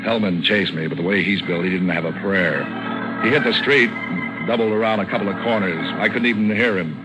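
Tough, cynical American gumshoe male actor, low register, 1940s radio noir delivery over music. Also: brisk, lightly acted, low-quality recording. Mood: weary, wry. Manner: hard-boiled, slangy, deadpan. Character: tough wisecracking male private eye, cynical. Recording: vintage 1940s radio broadcast, music behind.

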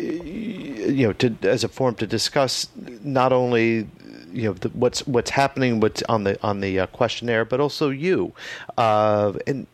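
A man hosting a radio interview, putting conversational questions in a halting, roundabout way. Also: medium pitch, no room sound. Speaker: radio show host, interviewer.

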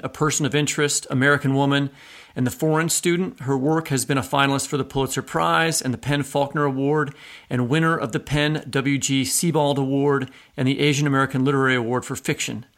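A man's voice: fairly high pitch, fairly bright tone. A man hosting a literary podcast, in conversation and sometimes reading aloud. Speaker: podcast host.

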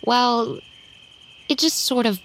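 A woman playing a guarded, uneasy vampire in an audio drama, speaking haltingly and tersely with dry understatement. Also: a little high, fairly bright tone, slight background noise. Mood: flustered. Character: guarded, reticent female vampire, uneasy and dryly sardonic. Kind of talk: naturalistic audio drama acting.

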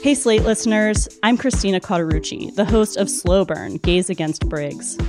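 A woman's voice: medium pitch, moderate pace, over music. A woman podcast host reading a scripted promotional announcement to listeners.

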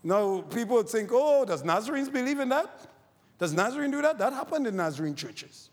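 A man from Barbados with a Barbadian accent, preaching a sermon, moving from quiet scripture reading to fervent exhortation. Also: extremely high pitch, sing-song, a little fast. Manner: reads scripture quietly, then rises to fervent shouted preaching. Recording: long hall reverb.

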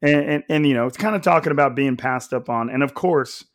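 A hoarse man hosts a casual sports podcast, his voice raspy and a little nasal. He talks at a naturally loud, energetic volume. The sound is dry and close, with no room around it. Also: mid-range voice, fairly quick, no echo. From Central California. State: hoarse from coaching. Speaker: podcast host.

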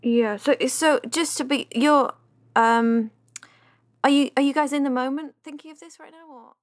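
An actress playing a patient, diplomatic business consultant in a comedy podcast, politely baffled and choosing words hesitantly, in a naturalistic style. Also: female voice, high voice, steady tone, moderate pace, lightly acted. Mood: probing, baffled. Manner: tactful, hesitant, careful phrasing.

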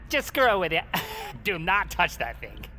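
A man's voice: sits high, wildly swooping pitch, takes it slowly, slight background noise, heavily acted. A man playing a cheeky, streetwise undead sidekick in an audio drama, with a teasing, colloquial manner. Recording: voice under loud music.

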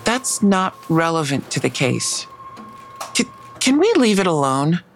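Audio drama detective: weary, guarded, hesitant, with plenty of vocal fry. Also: female voice, low-pitched, sing-song, a little slow, slight background noise, heavily acted. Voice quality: creaky. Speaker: actor in a fiction podcast. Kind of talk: naturalistic audio drama acting.

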